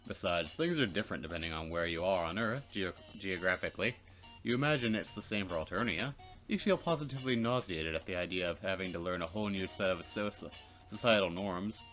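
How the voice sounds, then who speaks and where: A man's voice: deep voice, expressive, fairly quick, fairly bright tone, faint music behind. A man reading visual novel narration aloud for a let's play, with casual asides.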